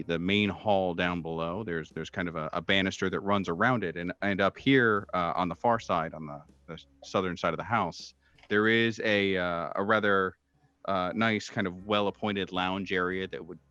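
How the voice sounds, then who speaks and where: A man narrating a tabletop horror game as game master, speaking in a halting, improvised way with many pauses. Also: low-pitched, steady tone, sharp tone, clean tone, no echo, lo-fi sound.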